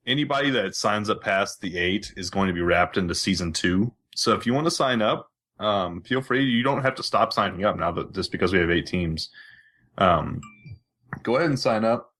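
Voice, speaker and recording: Man, deep pitch, podcast host, casual gaming chat, informal, rambling delivery. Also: moderate pace, fairly bright tone.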